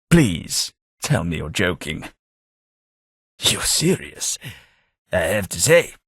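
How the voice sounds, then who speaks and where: A man's voice: dry recording, heavily acted. An actor voicing a refined, sardonic old male ghoul companion in a game, dry and cutting in manner. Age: older. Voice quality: low, dry, measured and cutting. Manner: refined, sardonic, easily offended.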